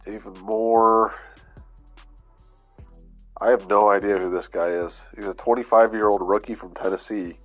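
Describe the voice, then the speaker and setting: A man's voice: fairly low pitch, steady tone, a little slow, long pauses, faint music behind. Stuffy-voiced man, laid-back game commentary. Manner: laid-back, thinking aloud with fillers. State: stuffy, under the weather. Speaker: gaming YouTuber. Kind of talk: casual gameplay commentary.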